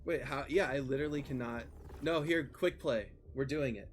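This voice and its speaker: A man's voice: mid-range voice, moderate pace, soft background music. A man hosting a casual chat while gaming, talking in a flustered, rambling way. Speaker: host.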